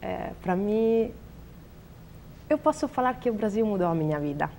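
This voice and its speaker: A young Italian woman with an Italian accent, a biologist answering interview questions in a language she is still learning, pausing often to search for words. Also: mid-range voice, expressive, a little mellow, slight background noise. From Italy. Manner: hesitant, searching for words, many fillers.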